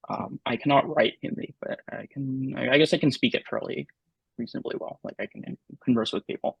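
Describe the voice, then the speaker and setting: Man guest, gravelly husky voice, dry muffled sound, halting speech with lots of fillers. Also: medium pitch, no room sound. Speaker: interview guest. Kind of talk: casual conversation.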